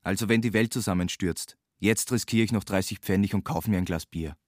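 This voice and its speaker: Low-voiced, resigned jobless man. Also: fairly quick, dry recording. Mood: resigned, bitter. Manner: dry, resigned. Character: resigned, broke, jobless man, quietly bitter. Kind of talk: literary radio drama, narration and dialogue.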